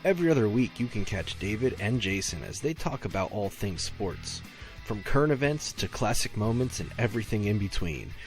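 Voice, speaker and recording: A man's voice: lowish voice, expressive, brisk. A man reading a scripted podcast promo as an announcer. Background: faint music.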